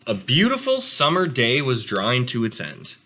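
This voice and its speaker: A man reads a picture book aloud to children. His delivery is expressive, with big swings in pitch, and his tone is bright. He speaks to the listeners directly in casual asides. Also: normal speed. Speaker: read-aloud host for children.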